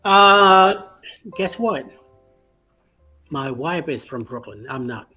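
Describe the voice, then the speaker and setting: A man from Puerto Rico, raised in Miami, joins a casual chat as a guest. He tells his story in a rambling way, full of fillers, in a slightly higher voice. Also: animated, slow pace, pushed and bright, faint music behind. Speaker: panel guest.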